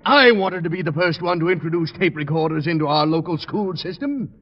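An actor playing an irascible, domineering head of a school board, gruff and commanding, in an old radio comedy. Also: male voice, fairly high pitch, expressive, brisk, highs cut off. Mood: mollified. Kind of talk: 1940s-50s radio comedy acting. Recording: old radio broadcast, hall reverb, studio audience.

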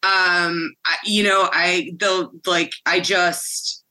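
A woman in her forties with a deep, raspy voice that tires easily, telling her story candidly in a casual interview with frequent fillers. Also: fairly even intonation, slow, bright, forceful tone, low-quality recording. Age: 40s. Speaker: guest, former butcher shop owner.

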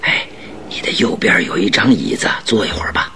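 A composed, grave detective in a radio drama, with a deep, bright-edged voice. He talks deliberately and confidingly, his pitch moving freely, over faint background noise. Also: animated, heavily acted. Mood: hushed warning.

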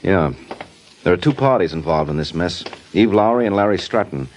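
An actor playing a suave, sardonic private detective in an old-time radio drama. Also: male voice, low-pitched, animated, moderate pace, a little mellow. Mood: wry. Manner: sardonic, wisecracking. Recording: noisy old radio recording, clicks.

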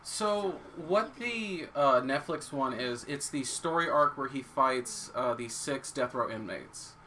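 A man chatting casually in a group, animated and quick to laugh. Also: medium pitch, a little hiss or hum. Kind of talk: casual chat.

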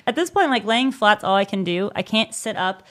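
A woman's voice: medium pitch, animated, normal speed, fairly bright tone. A young adult woman chatting casually as a podcast co-host, swearing freely, acting out voices and laughing often as she tells a story. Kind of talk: casual chat.

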